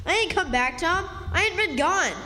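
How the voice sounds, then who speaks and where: A woman's voice: very high voice, lively intonation, normal speed, pushed and bright, slight background noise, heavily acted. A woman playing an earnest, scheming runaway country boy in a radio play, with folksy speech. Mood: urgent, earnest. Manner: folksy, eager. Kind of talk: stage radio drama acting. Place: live stage in a hall. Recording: reverberant hall recording.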